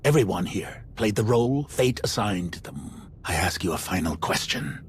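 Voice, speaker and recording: An actor playing a stern, fanatical seer in a video game, speaking in solemn, portentous tones. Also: male voice, low-pitched, animated, moderate pace, husky, exaggerated voice. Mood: solemn, intense. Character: stern fanatical male seer judging fate.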